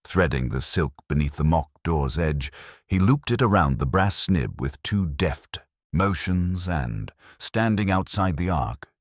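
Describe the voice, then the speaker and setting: A man reads a scripted mystery in an extremely deep voice, with ornate, dramatic storytelling. His intonation is lively and expressive. The recording is close and completely dry, with no room sound. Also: unhurried, dry recording.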